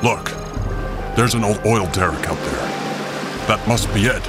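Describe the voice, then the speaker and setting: Batman, a man playing a low-voiced terse vigilante. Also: unhurried, lightly acted. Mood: urgent. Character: Batman, grim adult male crime-fighting vigilante. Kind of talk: dramatised audio story acting. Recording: loud music bed.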